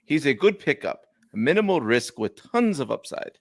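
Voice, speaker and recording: A man's voice: medium pitch, big pitch swings, normal speed, no echo, studio quality. A man co-hosting a casual sports podcast, talking in a put-on Jamaican accent from a lost bet. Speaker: podcast co-host. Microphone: remote streaming mic.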